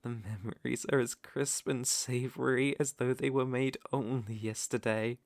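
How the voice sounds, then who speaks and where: A man's voice: medium pitch, fairly even intonation, no echo, studio quality, lightly acted. A man with a British accent playing a centuries-old aristocratic vampire lord in an ASMR roleplay, suave, predatory and teasing, with formal, theatrical phrasing. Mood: wistful, menacing. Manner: silky, theatrical, teasing and formal with lingering pauses.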